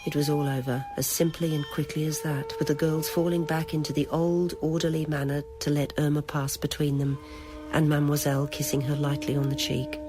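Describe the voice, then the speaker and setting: A woman's voice: sits low, flat delivery, fairly quick, music in the background. A woman reading a dramatic scripted narration of a novel.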